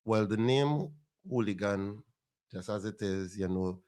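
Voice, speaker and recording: A man with a deep voice and a Trinidadian accent, a soca artist talking confidently and boastfully in a casual interview. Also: measured pace, a little mellow, smooth. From Trinidad and Tobago. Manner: confident, boastful, laid-back.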